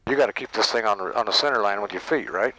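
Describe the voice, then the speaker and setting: An older man with a drawl talks a student through flying, folksy and encouraging as he gives steady commands. His headset mic picks up a loud engine drone under every word. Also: lowish voice, fast, low-quality recording. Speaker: flight instructor pilot. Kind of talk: coaching a student in flight. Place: small airplane cockpit. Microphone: headset boom mic. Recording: engine noise behind headset audio.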